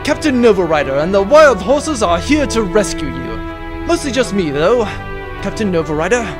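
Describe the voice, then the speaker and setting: A man's voice: high voice, sing-song, moderate pace, over music. A man playing a pompous, gallant but bumbling space pirate captain in a comedic audio drama. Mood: heroic bravado. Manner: pompous, declamatory.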